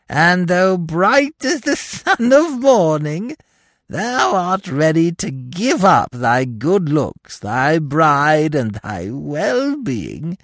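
A man voicing a mocking, gloating demonic dwarf in a gothic storybook tale, screeching and gravelly, with theatrical archaic speech. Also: fairly high pitch, a bit bright, dry recording, studio quality, voice pushed up, full character voice.

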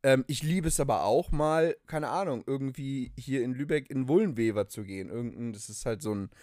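A man's voice: mid-range voice, fairly quick, darkish tone, dry recording, studio quality. A young man co-hosting a podcast, telling stories casually and enthusiastically with slangy English phrases mixed in. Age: young adult. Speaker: podcast co-host. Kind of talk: casual conversational storytelling.

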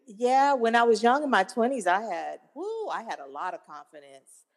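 Hesitant soft-spoken woman in her fifties. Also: on the high side, sing-song, normal speed, long reverb tail. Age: over 50. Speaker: guest. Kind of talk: casual chat.

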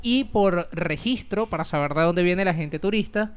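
A man's voice: sits high, moderate pace, clear, resonant tone. A Venezuelan man with a Venezuelan Spanish accent, chatting casually and asking questions. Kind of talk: casual chat.

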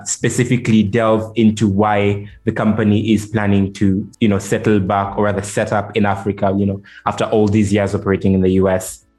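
A man's voice: fairly low pitch, darkish tone. A man hosting a business podcast, introducing the show and interviewing his guest.

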